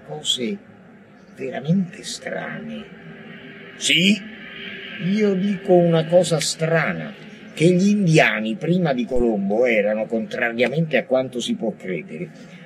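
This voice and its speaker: A man declaiming a theatrical text in a forceful, often loud delivery. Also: medium pitch, a little hiss or hum, low-quality recording. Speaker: actor reciting a monologue. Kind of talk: theatrical recitation.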